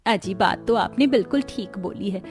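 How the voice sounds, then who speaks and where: A woman's voice: mid-range voice, moderate pace, darkish tone, faint music behind, lightly acted. A woman playing a kindly middle-aged village mother-in-law in a cartoon, homely and affectionate. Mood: concerned. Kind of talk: Hindi cartoon story dubbing.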